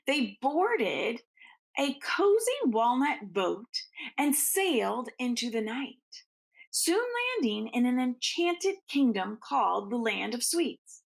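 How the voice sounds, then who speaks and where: A woman reads a picture book to children in an unhurried, creaky voice. She stretches out key words for emphasis and slips into soft, casual asides. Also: on the high side, low-quality recording. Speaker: teacher reading a picture book aloud to children. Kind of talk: expressive read-aloud storytelling with casual asides.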